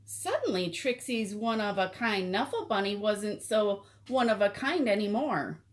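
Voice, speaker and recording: A woman reading a picture book aloud to children as an expressive storyteller. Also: medium pitch, unhurried.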